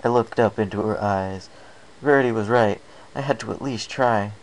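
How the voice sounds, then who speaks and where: A man's voice: on the low side, normal speed, rough recording. A man narrating a first-person story from a script as an amateur reader.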